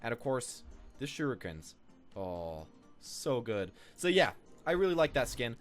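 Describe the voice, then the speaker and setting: A man's voice: mid-range voice, sing-song, a little slow, faint music behind. A man giving casual, unscripted, rambling commentary as the host of a gaming video. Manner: rambling, informal.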